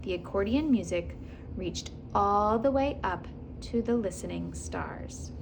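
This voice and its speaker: A woman reading a children's story aloud in an expressive, animated storytelling manner. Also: medium pitch, a little slow, vocal fry, noisy background. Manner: animated, acts out dialogue and animal sounds. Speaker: children's book reader. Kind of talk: expressive read-aloud storytelling with casual asides.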